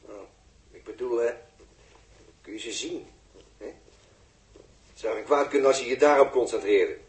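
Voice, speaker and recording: An actor playing a sarcastic, impatient spaceship technician in a 1960s radio drama, explaining things in a condescending, halting way. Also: male voice, mid-range voice, a little mellow, reverberant, lightly acted, rough recording. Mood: distracted. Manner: sardonic, condescending, halting while busy.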